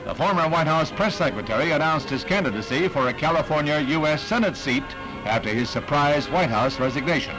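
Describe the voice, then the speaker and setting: A man's voice: a little high, lively intonation, brisk, low-quality recording. An American man reading scripted 1960s newsreel narration in a punchy, wry announcer's delivery. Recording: 1960s newsreel soundtrack, music behind.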